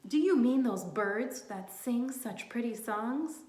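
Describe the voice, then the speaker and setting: Woman voicing a loud, silly penguin, echoey room. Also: on the high side, expressive, takes it slowly, a little hiss or hum. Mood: blithe curiosity. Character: cheerful, oblivious, brave oddball male penguin. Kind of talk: children's storybook read-aloud. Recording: roomy, echoing sound.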